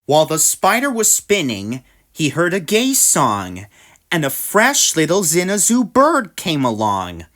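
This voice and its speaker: Lady reading a rhyming kids book out loud, low voice, slow, lots of expression. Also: wildly swooping pitch. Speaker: storybook reader. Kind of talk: expressive rhyming storybook narration.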